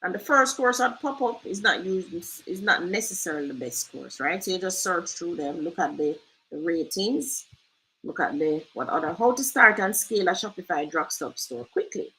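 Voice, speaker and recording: A woman's voice: on the low side, expressive, moderate pace, pushed and bright, poor audio. A Jamaican woman hosting a casual screen-share tutorial, chatty and loose, often asking "right?". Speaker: host.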